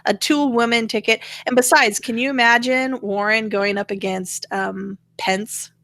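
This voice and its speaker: A woman's voice: a little high, fairly even intonation, measured pace, gravelly, a bit bright, dry recording. An American woman co-hosting a casual political talk show, speaking in an opinionated, irreverent way. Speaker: podcast co-host.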